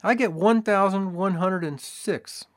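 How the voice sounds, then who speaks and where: Man doing a fussy, educated assayer: thin reedy voice, high and formal. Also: measured pace. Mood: prim, precise. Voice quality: pale, reedy voice. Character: thin bookish male town assayer.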